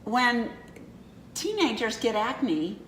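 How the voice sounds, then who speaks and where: A woman's voice: on the high side, very animated, takes it slowly, a bit bright, echoey room, a little hiss or hum. A woman in her fifties co-hosting a casual chat, telling rambling stories that keep correcting themselves. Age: 50s. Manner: rambling, self-correcting storyteller. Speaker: co-host.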